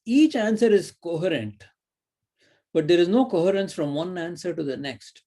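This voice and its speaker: Mellow-voiced man giving a rambling lecture. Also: high-pitched, expressive, moderate pace. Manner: rambling, thinks aloud with fillers and "right?" tags. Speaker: university lecturer. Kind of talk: recorded lecture.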